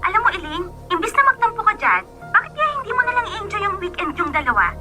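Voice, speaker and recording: Blunt young gal pal, high lively pitch, scolding banter, radio drama. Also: female voice, fast talker. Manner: animated, scolding, girly banter. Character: teasing, bossy young girlfriend giving blunt advice. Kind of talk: Filipino radio drama acting. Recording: prominent music behind voice.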